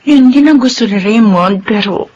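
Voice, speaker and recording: A woman's voice: a little high, normal speed, darkish tone, close to an everyday voice. An actress playing a loving, hesitant widowed businesswoman and mother in a Filipino radio drama, with a Filipino accent. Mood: worried, confiding. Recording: muffled radio broadcast, clear background noise.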